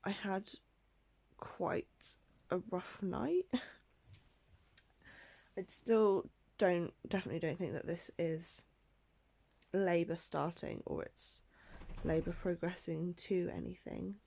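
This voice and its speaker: A young woman speaks softly and often in a near whisper, with lots of ums and little pauses. Her voice is fairly low and slightly gravelly. She sounds stuffed up, as if she has just woken. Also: fairly even intonation. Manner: soft, often half-whispered, with frequent ums and small laughs. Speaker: pregnancy vlogger. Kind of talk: casual video diary.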